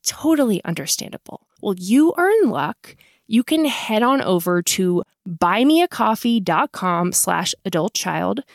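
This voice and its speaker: A woman's voice: mid-range voice, big pitch swings, measured pace, vocal fry, dry recording, clean studio sound. A woman hosting a podcast in a casual, blunt manner, swearing freely and poking fun at herself. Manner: blunt and profane, self-mocking. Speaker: podcast host.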